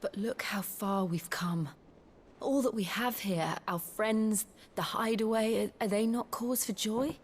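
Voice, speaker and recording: A woman playing a gentle, steadfast companion in a fantasy game, speaking softly and earnestly. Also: medium pitch, unhurried, a little hiss or hum, close to an everyday voice. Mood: tender concern. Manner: soft, earnest, formal phrasing. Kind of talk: dramatic video game cutscene acting. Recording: loud cinematic music behind the voice.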